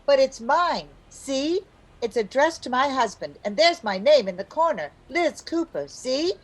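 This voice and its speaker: A woman playing an excitable, chatty, scheming housewife in an old-time radio comedy, with a theatrical manner. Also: sits high, heavily acted, rough recording. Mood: pleading. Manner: theatrical, quick to jump to conclusions.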